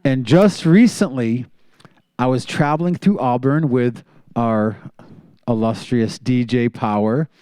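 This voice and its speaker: A man's voice: medium pitch, slow, mellow, clean tone. A man hosting a live community TV talk show, upbeat, thanking the crew and introducing segments. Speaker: show host. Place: studio with live audience.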